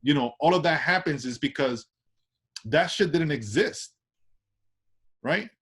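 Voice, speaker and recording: A man's voice: on the high side, expressive, unhurried, fairly bright tone, slightly rough. A man from Washington Heights with a New York accent, an artist chatting casually in an interview, loose and full of restarts and tag questions. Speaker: artist guest.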